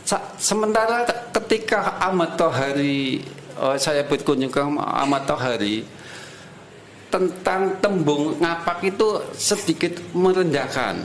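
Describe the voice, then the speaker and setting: A man with a Tegal Javanese accent who tells stories in a relaxed way as a podcast guest, mixing regional dialect into his talk. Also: fairly high pitch, a little slow, reverberant, slight background noise. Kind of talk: casual conversation.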